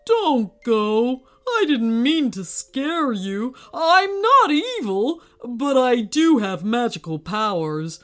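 Friendly magical ball, coaxing and slow; woman reader doing the voice. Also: fairly high pitch, big pitch swings, soft background music. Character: friendly magical talking basketball, male.

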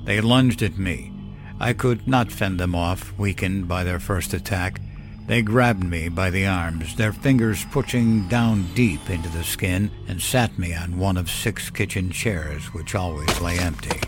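A man with a fairly low voice narrates horror fiction in the first person. His delivery is scripted, dramatic and measured to build suspense, with music clearly playing underneath. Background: background music.

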